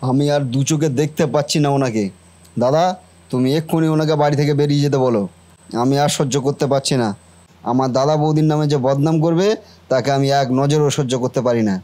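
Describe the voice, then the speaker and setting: A man's voice: a little high, steady tone, fast talker, dark tone, dry recording, close to an everyday voice. A man voicing a devoted, indignant brother in a cartoon family melodrama, speaking earnestly and declaiming.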